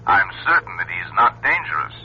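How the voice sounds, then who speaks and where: An actor playing an assured, insistent doctor giving a clinical report in an old radio drama. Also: male voice, deep voice, sing-song, a little fast. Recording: muffled old radio recording with hum.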